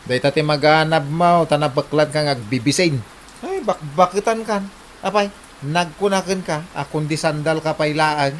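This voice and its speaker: A woman's voice: sits low, moderate pace, a little hiss or hum, lightly acted. A performer, heard as a woman, acting a mocking, scolding relative in an Ilocano comedy drama, taunting and exclamatory with an Ilocano accent.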